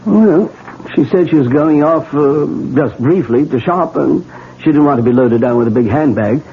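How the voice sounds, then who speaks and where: An actor playing a calm, wry male doctor in a 1970s radio drama, matter-of-fact in delivery. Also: on the high side, moderate pace, breathy edge. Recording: tinny old radio broadcast.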